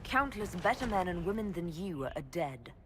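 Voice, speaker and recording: A woman playing a resolute, composed leader in a fantasy video game, steady and authoritative. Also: on the low side, normal speed, over music. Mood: stern.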